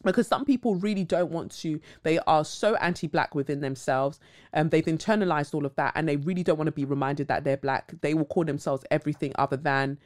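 A woman's voice: low voice, a little fast, a little mellow. A woman hosting a podcast, talking bluntly and casually, swearing freely, with dramatic asides. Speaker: podcast host. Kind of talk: casual commentary monologue.

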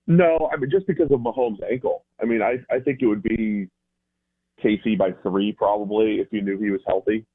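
A man's voice: lowish voice, brisk, husky. An American man giving casual, conversational football analysis as a radio guest, often rephrasing as he explains. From Boston area, United States. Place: remote call into a radio show. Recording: thin phone-like guest line.

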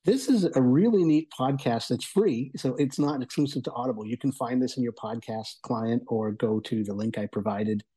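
Man with a mellow, slightly husky voice. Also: medium pitch, moderate pace, few pauses. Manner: enthusiastic, rambling. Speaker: guest reviewer of audio entertainment. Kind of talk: conversational review.

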